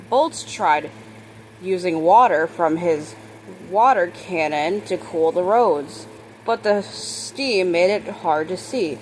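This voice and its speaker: A woman reading a children's book aloud, expressive on characters' lines and sometimes stumbling and correcting herself. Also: on the low side, slight background noise, low-quality recording. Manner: halting reader, stumbles and corrects words. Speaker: storybook reader.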